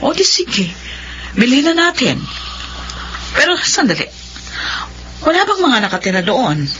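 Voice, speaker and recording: A woman's voice: sits high, big pitch swings, measured pace, a bit bright, full character voice. A woman with a Filipino accent acting as a shrewd, fretful middle-aged rich wife in a radio drama. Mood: wary, questioning. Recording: dull, faintly noisy radio recording.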